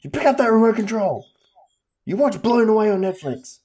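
A man's voice: high-pitched, expressive, normal speed, pitched above the performer's own voice. A man putting on a bossy drill sergeant who barks orders. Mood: barking. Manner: shouted commands.

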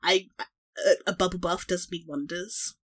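A woman's voice: lowish voice, fairly even intonation, measured pace, fairly bright tone, breathy edge, no room sound, studio quality. A woman in her thirties, a guest on an interview, who talks about herself in a chatty, self-deprecating way. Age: 30s. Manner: self-deprecating, rambling with restarts. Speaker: interview guest, artist and streamer. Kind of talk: casual interview chat.

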